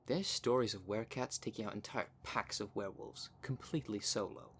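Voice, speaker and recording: A man's voice: fairly low pitch, brisk, slight background noise, natural voice. A man playing a cocky, cunning werecat with a smug, taunting, theatrical manner in an audio roleplay. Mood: taunting, menacing. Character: cocky, cunning male werecat shapeshifter, boastful and menacing. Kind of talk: ASMR roleplay monologue.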